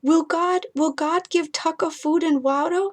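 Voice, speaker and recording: A woman voicing a sleepy, worried little boy in a storybook, halting and repeating words in toddler grammar. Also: extremely high pitch, steady tone, pitched above the performer's own voice. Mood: timid, anxious. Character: sleepy, worried little boy, sad about his dog.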